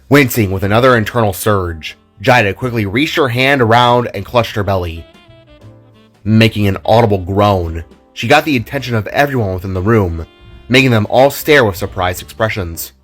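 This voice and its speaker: A man narrating a scripted audio drama in a measured, theatrical storytelling manner. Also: on the low side, faint music behind. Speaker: narrator and reader of all parts.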